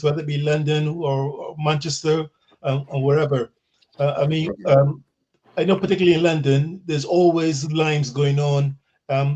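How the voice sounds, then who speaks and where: A man's voice: a little high, darkish tone. Barbadian man on a dull video call. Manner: hesitant, many ums. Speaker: guest. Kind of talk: casual video call chat. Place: home in England.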